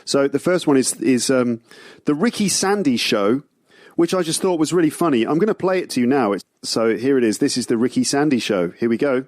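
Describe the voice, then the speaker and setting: Deadpan male podcaster. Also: medium pitch, a little fast, no echo. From England. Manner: dry, deadpan humor. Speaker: podcast host. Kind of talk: casual podcast talk, deadpan joking.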